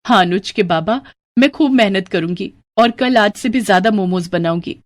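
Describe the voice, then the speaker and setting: A woman's voice: medium pitch, moderate pace, natural voice. A woman voicing a poor, hardworking young woman who sells momos, speaking earnestly and politely in a cartoon story.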